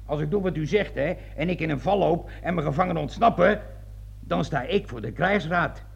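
An actor in a radio play voicing a stubborn, muddled army sergeant, blunt and colloquial, with a raspy voice. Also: male voice, a little high, fairly quick, heavily acted. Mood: confused. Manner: blunt, colloquial, repeating himself in confusion. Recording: dull old radio recording.

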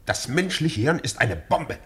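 An actor playing a fussy, obsequious anarchist secretary in a radio play. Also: male voice, mid-range voice, sing-song, a little slow. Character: eager, servile anarchist secretary.

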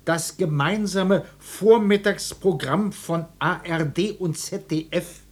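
A man's voice: high-pitched, slow. A man playing a helpless, henpecked family father in a German radio drama, hesitant, stammering and pedantic. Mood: helpless, concerned.

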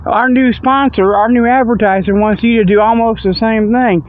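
A man's voice: sits high, fairly even intonation, moderate pace. A man hosting a comic radio-style show, rambling in a folksy, ungrammatical way through mock sponsor reads and casual monologue. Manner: folksy, rambling, ungrammatical, peppered with "okay" and "you know". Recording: dull lo-fi audio with background noise.

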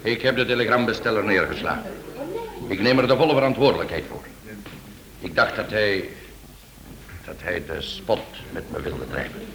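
Casting: contrite hothead in a radio play, fairly deep voice, with crowd murmur behind. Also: male voice, expressive, moderate pace, vocal fry, long pauses, low-quality recording. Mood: agitated confession. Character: hot-tempered man, contrite and earnest before police. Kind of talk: Dutch radio drama acting.

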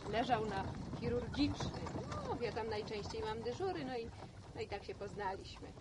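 An actress playing a chatty, teasing village-born nurse back from the city, in a radio drama. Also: female voice, on the high side, animated, moderate pace, very noisy, natural voice. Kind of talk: Polish radio drama acting.

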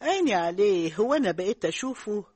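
A woman's voice: medium pitch, fast, husky. An actress playing a fussing, dramatic older Egyptian mother and grandmother in a radio drama. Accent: Egyptian Arabic. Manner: lively and dramatic, calls her daughters pet names. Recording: music behind the voice.

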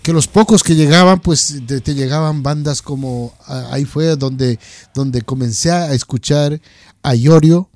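Higher-voiced man chatting. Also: measured pace, a little mellow, dry recording. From Ecuador. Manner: hesitant, repeats words as they go. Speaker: host of a heavy metal radio programme. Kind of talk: casual interview chat.

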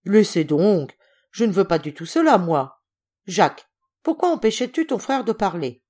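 A woman voicing a gruff, hot-tempered but kindly old general in a storybook, blustering and imperious. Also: medium pitch, big pitch swings, normal speed, a little mellow, pitched above the performer's own voice. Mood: irritated. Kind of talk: acted storybook reading.

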